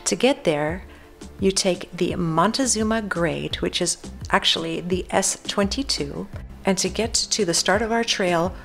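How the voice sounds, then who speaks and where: A woman's voice: lowish voice, a little slow, gravelly, a bit bright, faint music behind. An older woman giving a scripted voice-over for a hiking video, informative and guide-like. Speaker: hiking vlogger narrator.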